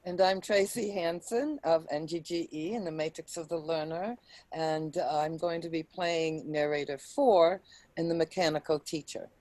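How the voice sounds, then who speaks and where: A woman hosting a reading club, introducing in a friendly, measured way. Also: deep voice, fairly even intonation, a little mellow, no room sound. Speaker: host. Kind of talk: reader's theater introduction.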